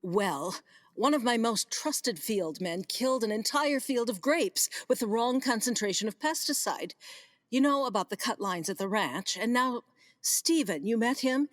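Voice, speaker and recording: A woman's voice: on the high side, lively intonation, a little slow, no room sound. A woman acting a gracious, commanding, flirtatious vineyard owner with genteel, theatrical emphasis in a comedic radio play. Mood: confiding.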